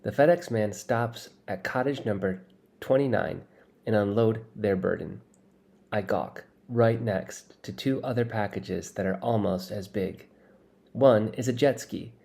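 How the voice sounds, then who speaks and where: A man narrates a children's story in the first person. His voice is fairly low with a strong creaky fry, and the reading is scripted and expressive. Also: unhurried. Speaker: audiobook reader.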